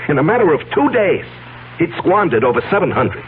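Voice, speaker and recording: An actor playing an anguished, desperate ex-convict living under a false identity in a 1970s radio drama, agitated and halting. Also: male voice, fairly high pitch, very animated. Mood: grim, agitated. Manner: agitated, halting, emphatic delivery. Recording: lo-fi vintage radio, thin, noisy hum.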